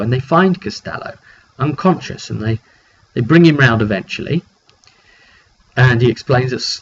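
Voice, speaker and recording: A man casually telling the story of a tabletop roleplay session as game master, in an animated way with repetitions and slang. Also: medium pitch. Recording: dull lo-fi mic with background noise.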